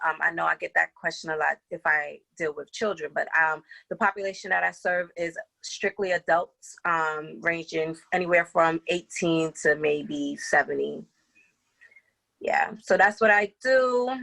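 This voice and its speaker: A young woman from the Bronx with a Bronx New York accent, speaking as a podcast guest and empowerment coach, earnest and pausing often with "um". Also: fairly low pitch, vocal fry, sharp tone, poor audio. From the Bronx, New York City. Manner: earnest, many "um" pauses, laughs easily. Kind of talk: casual interview talk.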